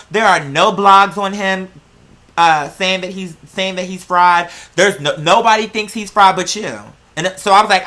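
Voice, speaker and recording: Female reaction vlogger. Bright, punchy voice, blunt and exasperated, casual delivery. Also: fairly low pitch. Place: indoors at a computer. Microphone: plug-in computer microphone.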